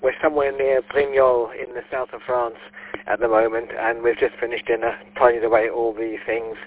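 A man's voice: medium pitch, flat delivery, fairly quick. Radio guy, rambly and jokey, lots of ums, sounds like a thin radio line. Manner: chatty, jokey, with many ums and uhs. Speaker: ham radio operator. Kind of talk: casual radio chat. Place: holiday home patio. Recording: tinny radio link.

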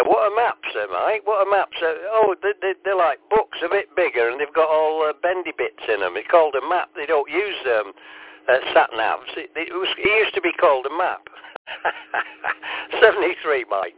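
A man's voice: fairly high pitch, animated, normal speed. An older man, chatting in a friendly way as an amateur radio operator over two-way radio. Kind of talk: casual radio contact chat with call signs. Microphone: radio transceiver microphone. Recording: tinny, narrowband two-way radio.

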